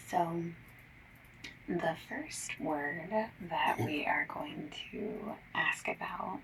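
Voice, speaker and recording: A woman playing a shy, nervous phone survey caller in an ASMR roleplay, speaking softly and often near a whisper, hesitant and apologetic. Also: lowish voice, recorded from a distance, background noise, heavily acted. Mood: gentle, hesitant. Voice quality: soft, breathy, often slipping into whisper. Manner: hesitant, apologetic, rambling, gentle.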